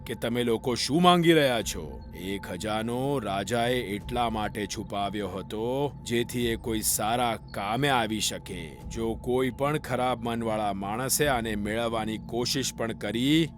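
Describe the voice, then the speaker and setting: A man's voice: medium pitch, unhurried, music in the background, natural voice. A man voicing an ancient, stern jinn who guards a treasure in a children's cartoon story, commanding and full of warning.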